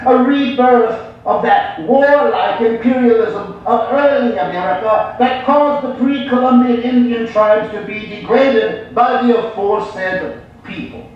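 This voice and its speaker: A man's voice: extremely high pitch, unhurried, few pauses, slight background noise. A man playing an eccentric, agitated polemicist poet, speaking in emphatic declamation in a theatrical mock interview. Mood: denouncing. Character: eccentric male polemicist poet denouncing society, agitated and bizarre. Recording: dull, distant, echoey stage recording.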